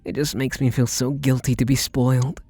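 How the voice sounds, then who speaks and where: A man's voice: mid-range voice, steady tone, moderate pace, mellow, dry recording, faint music behind, close to an everyday voice. A man playing an eager, submissive, lovestruck butler who gushes earnestly and pleadingly in an intimate ASMR roleplay. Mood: needy, adoring.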